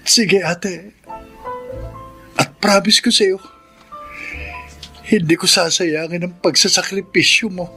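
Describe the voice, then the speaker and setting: Plaintive young brother, music behind. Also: male voice, high voice, steady tone, slow, pauses often. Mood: resolved. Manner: hesitant, plaintive. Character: Jason, sad devoted younger brother. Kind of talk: Filipino radio drama acting.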